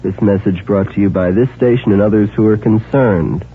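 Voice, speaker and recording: A man's voice: on the low side, normal speed, noisy background. A man reading a scripted public service announcement, earnest and persuasive, putting direct questions to the listener. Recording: tinny old AM radio broadcast.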